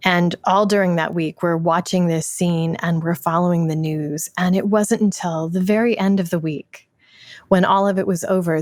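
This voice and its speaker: A woman with a lowish voice tells a reflective anecdote in unscripted speech. She repeats phrases for emphasis and corrects herself as she goes. The sound is close and dry. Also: darkish tone, no room sound. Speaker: theatre artist discussing a production.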